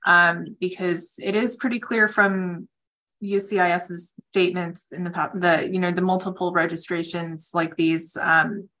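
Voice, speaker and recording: Female attorney on a call-in, bright clear-cut tone, slightly low pitch, explanatory and matter-of-fact. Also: level tone, unhurried. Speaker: immigration attorney answering callers. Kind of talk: advisory question-and-answer on a conference call.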